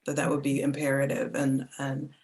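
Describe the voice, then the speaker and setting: A woman's voice: very deep voice, completely flat delivery, moderate pace, darkish tone. A woman, an actor, talking casually and emphatically in a panel discussion. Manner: informal, emphatic, conversational.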